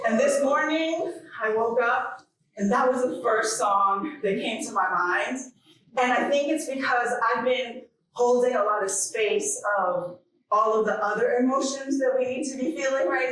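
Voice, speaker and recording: In a very reverberant hall, picked up from a distance, a woman from Jamaica gives a keynote address. She speaks slowly and conversationally, reflecting as she goes and leaving deliberate pauses. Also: medium pitch, a bit bright. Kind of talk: informal keynote speech. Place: large echoing conference hall. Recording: very echoey hall, distant microphone.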